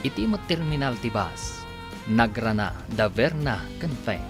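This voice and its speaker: Filipino male radio serial narrator, mid-pitched, very animated intonation, music bed underneath. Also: measured pace. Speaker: radio drama narrator and announcer. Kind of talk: scripted radio drama narration.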